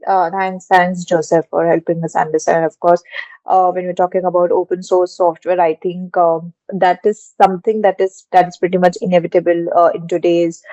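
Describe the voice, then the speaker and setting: A woman's voice: fairly low pitch, warm tone, no room sound, highs cut off. A woman hosting a podcast interview, asking long winding questions with many hesitations. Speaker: podcast host.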